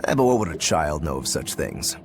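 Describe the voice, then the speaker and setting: An actor playing an easygoing male swordsman demon who loves drink, talking in a relaxed, teasing way in a video game cutscene. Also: a bass voice, fairly quick, music in the background, exaggerated voice. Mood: savoring. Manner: laid-back, teasing.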